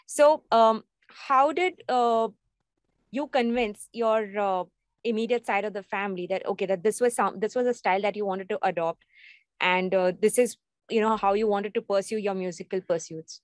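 A woman's voice: medium pitch, moderate pace, dry recording. Young woman interviewing on a video call. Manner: hesitant, many fillers and restarts. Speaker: radio interviewer.

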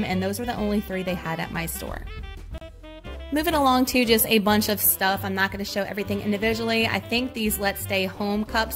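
A woman's voice: medium pitch, a bit bright. A woman with a Southern US accent, chatting casually through a shopping vlog, upbeat as she points out items and prices, recorded on a handheld phone in a big store. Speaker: shopping vlogger. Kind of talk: casual shop-with-me narration. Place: large retail store, indoors. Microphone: handheld phone camera.

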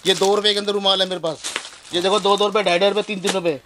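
A man in his thirties, a wholesale shop owner, giving a sales pitch and rattling off prices with rapid, repetitive counting in a small shop. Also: little pitch movement. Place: small indoor shop crowded with shelves. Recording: noisy shop recording.

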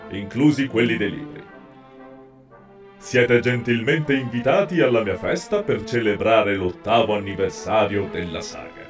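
A man's voice: on the low side, close to an everyday voice. A man voicing a genial, ceremonious animatronic bear host, reading out a letter in an amateur fan dub. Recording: slightly distant mic, music behind.

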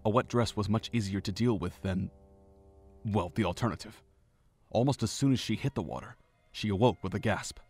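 A man narrating a fan audio drama in an even, measured reading voice. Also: fairly low pitch. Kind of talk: scripted story narration. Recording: home narration with faint music.

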